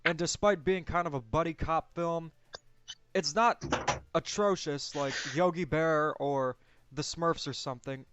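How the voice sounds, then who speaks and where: A man's voice: on the high side, unhurried, a bit bright, slight background noise. A man hosting a casual movie discussion, giving his opinions and explaining them. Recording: dull lo-fi audio, music bed. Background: music.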